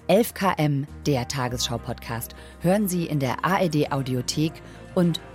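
A woman's voice: low-pitched, animated, normal speed, darkish tone. A woman presenting a scripted promo as a news podcast host, in a polished delivery. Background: light music bed.

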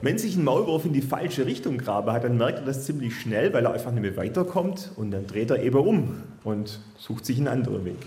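A man's voice: mid-range voice, big pitch swings, fairly quick, dark tone. A man speaking as a zoology expert, explaining things plainly and reassuringly to a young audience. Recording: echoey room.

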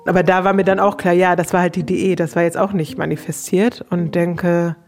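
Frank, slightly low-pitched woman. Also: flat delivery, brisk, music in the background. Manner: colloquial, frank, quoting her own thoughts. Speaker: interviewee telling her own story. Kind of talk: casual personal storytelling.

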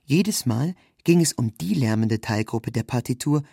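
Male narrator for literary prose: slightly husky mid-range voice, measured and formal, recorded very dry. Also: medium pitch, expressive, mellow, dry recording. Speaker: narrator, reader.

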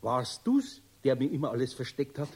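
An older Bavarian carpenter in a children's radio play. His husky voice swings widely in pitch between muttering and surprised exclamations, gruff but good-natured and a bit bewildered. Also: very animated, normal speed, darkish tone. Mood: puzzled.

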